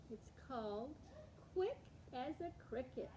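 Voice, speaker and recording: High-voiced woman reading slowly to children. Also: noisy background. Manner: animated, acts out words with slowed and varied delivery. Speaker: storytime host. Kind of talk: expressive read-aloud of picture books for young children.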